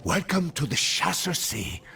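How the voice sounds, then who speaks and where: A man's voice: fairly high pitch, big pitch swings, a little slow, gravelly, pushed and bright, slight background noise, exaggerated voice. An actor playing a self-serving desert rogue in a video game, with wheedling, theatrical, salesman-like patter. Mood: theatrical, urgent. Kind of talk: video game character acting.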